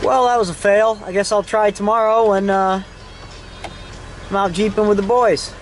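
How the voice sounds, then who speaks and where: A man with a notably high-pitched voice talks through a hands-on repair job like a casual video host. He is informal and self-deprecating, with mildly salty slang. A faint noise from in and around the vehicle sits behind him. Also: fairly even intonation, moderate pace. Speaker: host of a DIY car how-to video. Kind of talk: casual hands-on tutorial narration. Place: in and around a vehicle while working on it.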